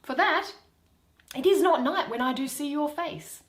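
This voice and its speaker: A woman acts a lovesick young heroine of Shakespeare, imploring and stubbornly devoted. Her pitch runs high and leaps about, and the lines unfold slowly in an echoing room. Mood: adoring. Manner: impassioned, imploring. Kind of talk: dramatic Shakespeare reading.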